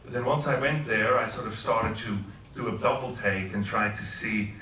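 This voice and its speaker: A man's voice: on the low side, normal speed, sharp tone, far from the mic, a little hiss or hum. A middle-aged man from Switzerland, a professor, giving an informal talk and asking the audience questions. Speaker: guest speaker. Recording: echoey room, voice heard from afar.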